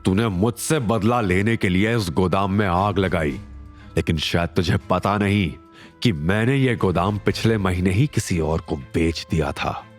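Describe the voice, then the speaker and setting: Casting: man playing calm, dangerous male hero for audio serial, lowish voice, measured and threatening. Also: faint music behind. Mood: menacing. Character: menacing, calm male hero, cold and protective.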